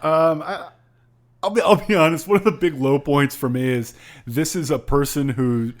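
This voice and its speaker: A man's voice: on the high side, normal speed. A man co-hosting a podcast, chatting casually about a book in a wry, amused manner. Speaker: podcast co-host. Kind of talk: casual, joking book discussion.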